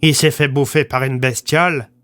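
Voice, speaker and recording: A man's voice: mid-range voice, fairly even intonation, moderate pace, fairly bright tone, clear, resonant tone, voice pushed up, close to an everyday voice. A man playing a blunt, slangy small-town police commissioner recounting his survival in an audio story. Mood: blunt, matter-of-fact.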